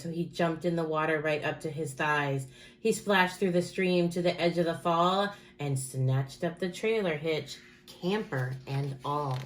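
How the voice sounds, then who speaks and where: A woman teacher reading a rhyming picture book aloud to children in an expressive, rhythmic way with dramatic emphasis. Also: lowish voice.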